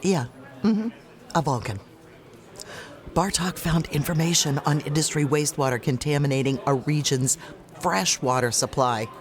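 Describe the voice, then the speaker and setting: An actor playing an excitable, friendly publican, breezy and exclamatory, in an audio drama. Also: male voice, fairly high pitch, normal speed, a faint crowd, close to an everyday voice. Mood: teasing. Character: chatty, excitable, friendly male space publican.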